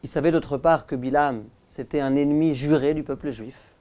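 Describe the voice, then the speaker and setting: A male rabbi giving a religious lesson as a story, with emphatic, deliberate phrasing. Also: on the high side, fairly even intonation, dark tone. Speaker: rabbi, teacher.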